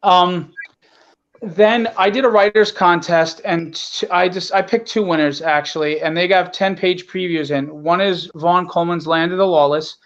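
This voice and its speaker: A man with a notably high voice talks casually as a guest promoting his own work. He is emphatic and repeats short phrases. The sound is somewhat dull and lo-fi, like a call. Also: steady tone, normal speed, fairly bright tone. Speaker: comic book creator, guest.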